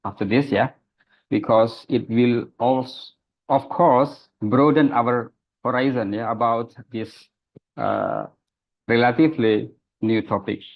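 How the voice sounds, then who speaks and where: A man moderating a webinar, opening it formally and halting often with fillers while greeting the speakers. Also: on the low side, muffled recording. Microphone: video call.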